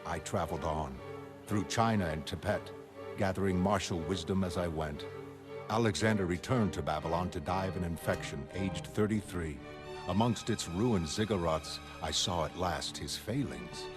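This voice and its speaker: A man playing a cultured, self-assured middle-aged genius in a comic, delivering a measured, formal and contemplative dramatic monologue. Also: sits low, close to an everyday voice. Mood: grandly reflective. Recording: prominent music under voice. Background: music.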